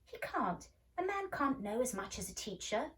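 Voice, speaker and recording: A woman's voice: fairly high pitch, sing-song, moderate pace, a bit bright, recorded from a distance. A woman voicing a stubborn, scornful schoolgirl in a storybook, with an argumentative, doubtful manner. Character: stubborn, scornful schoolgirl who dislikes lessons.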